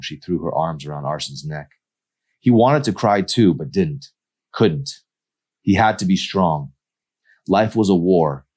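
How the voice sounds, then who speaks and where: A man with a deep voice reads fiction aloud as its author. His delivery is expressive, in the manner of an audiobook narrator. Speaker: author reading his own novel.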